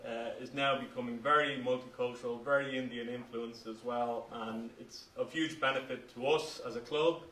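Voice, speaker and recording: Hesitant man speaking flatly in a roomy hall. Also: medium pitch, moderate pace, hardly any pauses. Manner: hesitant, friendly, filler-heavy. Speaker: cricket club member, guest speaker. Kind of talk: short informal speech. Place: function hall. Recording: roomy hall from a distance, faint noise.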